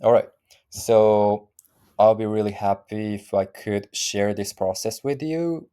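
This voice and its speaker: A man in his thirties speaks English with a Japanese accent, hesitant and often stopping to search for the right word. His voice sits a little low and sounds mellow and soft. He talks very slowly, in a casual solo monologue. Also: smooth. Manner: halting, searching for words, frequent restarts. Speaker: vlogger practicing English.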